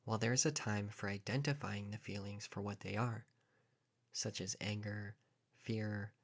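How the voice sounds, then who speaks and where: A man leading a guided meditation in a calm, measured manner, leaving pauses between short phrases. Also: fairly low pitch.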